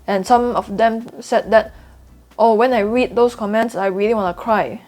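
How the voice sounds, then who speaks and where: A woman's voice: fairly high pitch, fairly even intonation, normal speed, a little mellow. A young woman with a Singaporean accent talking candidly to camera in a vlog, earnest and confiding, repeating points for emphasis. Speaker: trading YouTuber.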